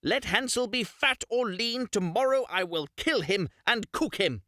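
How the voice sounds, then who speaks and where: Storybook: man voicing a shrill, mocking old witch, lively pitch. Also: a little slow, dry recording, clean studio sound, full character voice. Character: wicked cackling old female witch.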